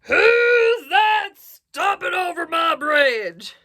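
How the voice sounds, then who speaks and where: A woman voicing a mean, grumpy male troll from a storybook, bellowing drawn-out threats. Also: very high-pitched, very animated, pushed and bright, shouting, pitched above the performer's own voice, full character voice. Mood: roaring challenge.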